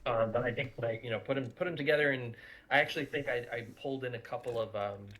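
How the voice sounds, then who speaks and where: A man giving casual behind-the-scenes commentary, halting and repeating words as he thinks aloud. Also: mid-range voice, fairly bright tone, low-quality recording. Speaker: audio drama creator giving commentary.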